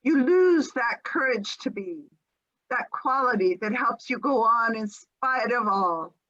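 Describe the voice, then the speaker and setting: A woman giving a theatrical reading of a speech in a measured, declamatory way. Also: on the high side, animated, sharp tone, no room sound, highs cut off, full character voice. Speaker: amateur stage reader.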